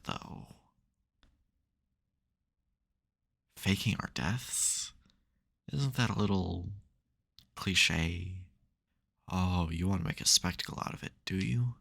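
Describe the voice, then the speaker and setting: Deep, gravelly soft-hearted supervillain played by a man. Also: exaggerated voice. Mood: wry, scheming. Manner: dry teasing, hesitant, mock-grudging. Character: supervillain nemesis, secretly soft, teasing and flustered. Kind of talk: intimate ASMR audio roleplay.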